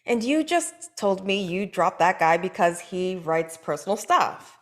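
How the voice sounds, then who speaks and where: A woman's voice: on the low side, sing-song, a little slow. A woman reading the part of a frustrated TV writer who speaks in an earnest, pointed way. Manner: earnest, pointed, pushing back. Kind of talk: comedy table read.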